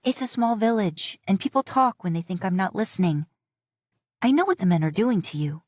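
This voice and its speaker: A woman's voice: medium pitch, sing-song, fairly quick, a little mellow, no echo, clean studio sound. A woman voicing a proud, earnest young wife who speaks firmly and insistently.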